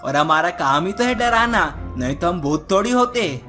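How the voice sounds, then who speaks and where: A woman's voice: lowish voice, lively intonation, moderate pace, a bit bright. Lady doing a bloodthirsty witch mom for a kids cartoon, gloating and scolding, music under it. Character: menacing witch mother, bloodthirsty, doting on her child. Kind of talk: cartoon story character voice. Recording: music behind the voice.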